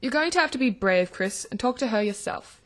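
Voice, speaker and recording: A woman playing a caring, teasing teenage older sister in a radio drama, gently probing and reassuring. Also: fairly high pitch, lively intonation, brisk. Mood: bossy, helpful. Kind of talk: radio drama acting for English learners. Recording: clear background noise of sizzling cooking. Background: frying and stirring kitchen sounds.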